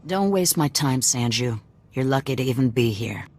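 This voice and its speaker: Hard-bitten female resistance lieutenant, very low pitch, sardonic, challenging game dialogue. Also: animated, normal speed, darkish tone, a little hiss or hum. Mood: scornful. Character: tough, distrustful female rebel enforcer with cybernetics. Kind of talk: video game dialogue acting.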